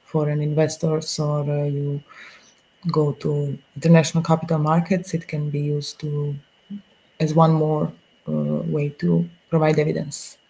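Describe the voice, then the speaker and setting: A woman's voice: low-pitched, little pitch movement, a little slow, vocal fry, mellow, husky, rough recording. A woman in her forties with a Croatian accent, speaking hesitantly as a panelist over a video call. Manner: hesitant, many fillers and restarts. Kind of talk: panel discussion.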